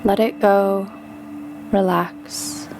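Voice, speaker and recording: A woman leading a guided meditation with calm, gentle instruction and pauses between phrases. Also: mid-range voice, vocal fry. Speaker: meditation guide, yoga teacher. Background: background music.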